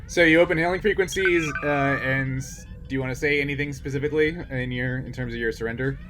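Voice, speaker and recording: A man's voice: mid-range voice, fast talker. A man running a tabletop roleplaying game among friends as game master, mixing casual rules talk with theatrical flourishes. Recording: clearly noisy background.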